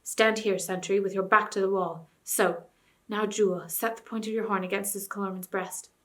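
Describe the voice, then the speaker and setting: A woman's voice: mid-range voice, fairly even intonation, normal speed, fairly bright tone, natural voice. A stern, courtly young king from a storybook, read by a woman. His speech is grave and formal, close to the reader's own voice.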